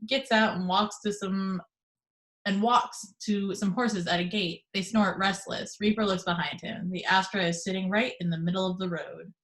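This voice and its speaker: A woman reading the action descriptions aloud in steady, measured narration for an amateur table read over a video call. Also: medium pitch, rough recording. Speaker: reader of stage directions.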